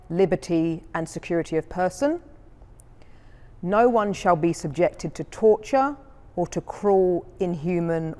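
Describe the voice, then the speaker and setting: A woman plays a formal speaker in a courtroom drama. Her voice sits a little low. She recites in a formal way, with hall reverb around her. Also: darkish tone.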